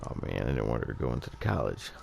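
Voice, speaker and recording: Male gamer, very deep and creaky, casual play-along commentary. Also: big pitch swings, rapid-fire, darkish tone, slight background noise. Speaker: gamer narrating own playthrough.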